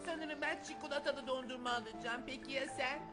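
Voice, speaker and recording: A woman as a high-voiced, excitable little boy. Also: a little fast, lightly acted. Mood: cheeky, insistent. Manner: impulsive, excitable, playful. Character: cheeky, eager little boy, the younger brother. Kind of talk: dubbed children's cartoon acting. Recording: loud music under the voice.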